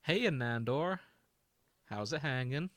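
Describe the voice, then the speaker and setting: A man's voice: mid-range voice, expressive, slow pace, a bit bright, no room sound. A nonchalant middle-aged male bore, put on by a man, from a comic story reading. The voice is bland yet chipper, sitting a touch lifted over a dry recording.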